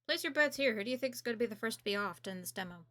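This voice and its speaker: A woman's voice: on the high side, expressive, racing, bright, forceful tone, soft background music. A woman giving casual, blunt gaming commentary as a streamer, with informal, sweary phrasing. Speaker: game streamer reacting to and reviewing a demo.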